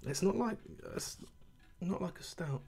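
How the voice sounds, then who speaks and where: Man with a gravelly voice. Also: sits high, lively intonation, unhurried, a little mellow. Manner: chatty and rambling, laughs at himself. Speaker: beer reviewer. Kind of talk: casual chat to camera. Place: kitchen at home, indoors.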